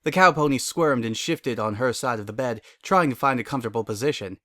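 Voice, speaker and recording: A man's voice: mid-range voice, fairly quick, fairly bright tone, dry recording. Male story narrator, dry close recording.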